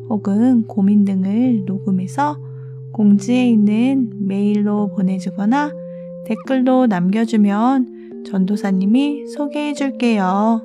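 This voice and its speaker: A woman who is a children's ministry pastor, gently narrating a bedtime segment in a soft, encouraging way. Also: mid-range voice. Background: soft guitar music.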